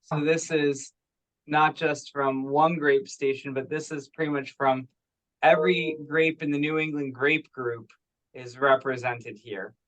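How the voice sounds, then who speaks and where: A man's voice: fairly high pitch, fairly even intonation, measured pace, a bit bright, rough recording. A man talking casually in an online conference call, discussing work as a participant. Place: teleconference.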